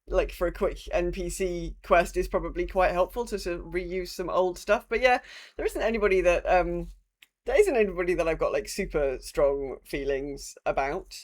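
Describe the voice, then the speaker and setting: A woman co-hosting a podcast in casual conversation, thinking aloud somewhat hesitantly as she goes. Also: on the low side. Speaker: podcast co-host. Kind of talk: casual conversational discussion of a video game.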